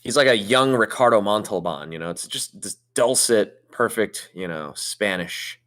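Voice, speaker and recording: Lowish-voiced man reading viewer chats. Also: animated, moderate pace, fairly bright tone. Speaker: podcast co-host reading super chats. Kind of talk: casual chat.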